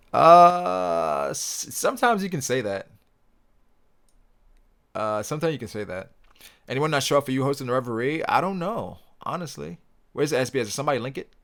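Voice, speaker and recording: A young man hosting a casual livestream, reading viewer comments aloud and answering them in a relaxed, rambling way. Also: mid-range voice, gravelly. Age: young adult. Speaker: livestream host reading chat.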